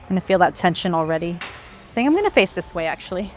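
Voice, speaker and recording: A woman's voice: lowish voice, big pitch swings, fast. A woman fitness vlogger explaining exercises while she does them, a little out of breath, with effortful sighs and exhales. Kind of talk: casual workout instruction. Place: gym.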